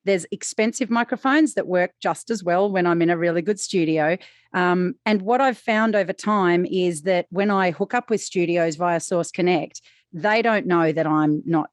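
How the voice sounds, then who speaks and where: A woman voice actor co-hosting a podcast in casual chat, with a deeper, slightly sibilant voice and an easygoing, chatty manner. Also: dry recording, studio quality. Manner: relaxed, chatty, frequent "right?" asides.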